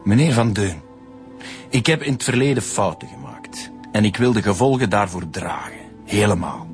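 A man's voice: lowish voice, sing-song, moderate pace, faint music behind. A man with a Flemish accent playing a defensive ex-convict suspect in a radio drama, curt and evasive under questioning. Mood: earnest.

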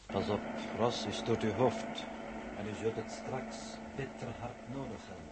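A man's voice: on the low side, natural voice. A man with a Dutch accent acting a formal, pedantic mission coordinator in a 1960s radio drama. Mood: grave, formal. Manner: precise, formal, measured wording. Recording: noisy old 1960s radio recording.

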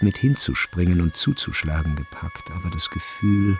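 A man's voice: on the low side, gravelly. A man reading scripted literary narration in a radio drama.

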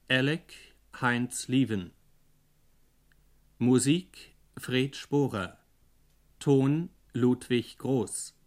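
A man giving a formal, measured scripted announcement as a radio announcer reading credits. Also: mid-range voice.

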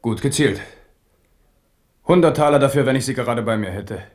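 A man's voice: mid-range voice, normal speed, darkish tone, faint music behind, natural voice. An actor playing a brooding, bitterly amused French king in a German radio drama.

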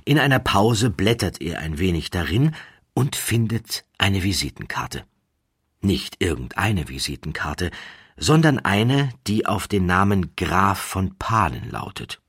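A man reading a literary story as a narrator, measured, expressive and slightly wry. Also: low voice, husky, dry recording, studio quality. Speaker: audiobook narrator.

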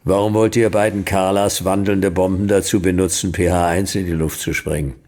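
An actor playing a laconic, dryly ironic private detective in a radio drama, terse and deadpan. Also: male voice, moderate pace, close to an everyday voice. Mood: dry probing. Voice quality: deep, gravelly. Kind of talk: noir radio drama narration and dialogue.

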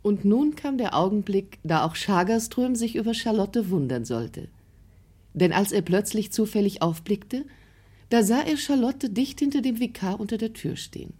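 A woman narrating a literary radio drama in a scripted storytelling style, with a husky voice. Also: mid-range voice, animated, normal speed, dark tone.

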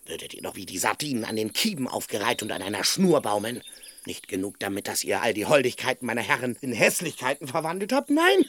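A man in a German radio play acting a wily, excitable squire, exclamatory and mock-pompous. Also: medium pitch, quick pace. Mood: indignant cursing.

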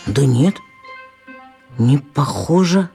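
A woman's voice: a bass voice, animated, very slow, creaky, dark tone, faint music behind, heavily acted. A woman playing a bewildered, suspicious schoolboy who grumbles to himself in a children's radio play. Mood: puzzled pondering. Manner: pondering, grumbling inner monologue. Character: bewildered, suspicious man trapped in a schoolboy's body.

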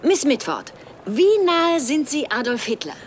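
High-voiced nosy lady reporter. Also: big pitch swings, measured pace, a little hiss or hum. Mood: pointed, probing. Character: inquisitive British female newspaper correspondent. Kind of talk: radio drama acting.

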